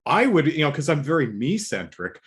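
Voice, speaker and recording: A middle-aged man from the San Diego area, rambling through a casual podcast chat. His pitch swings widely from line to line, and he laughs at himself often. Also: very animated, brisk, a bit bright. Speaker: podcast co-host.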